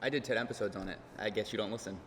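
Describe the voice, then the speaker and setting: A man's voice: fairly low pitch, steady tone, very fast, a loud crowd. A man and podcast host speaking casually on a conference panel, chatty and self-deprecating. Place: large conference hall. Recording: hall reverb, faint room noise.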